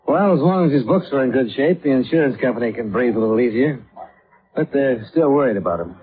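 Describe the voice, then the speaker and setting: An actor playing a brisk, methodical insurance investigator, terse and matter-of-fact, in the style of 1950s radio detective drama. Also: male voice, medium pitch, animated, breathy edge. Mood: probing, terse. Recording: muffled, narrow-band vintage radio recording.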